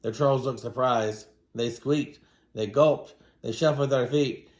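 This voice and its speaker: A man reading a children's story aloud as a teacher, in an expressive, dramatic manner. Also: mid-range voice, slow. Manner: dramatic, acts out dialogue. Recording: roomy, echoing room sound.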